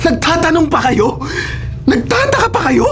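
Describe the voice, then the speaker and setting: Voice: extremely high pitch, big pitch swings, moderate pace, a bit bright, heavily acted. A performer playing a defiant, resentful young male snatcher in a radio drama, arguing sullenly.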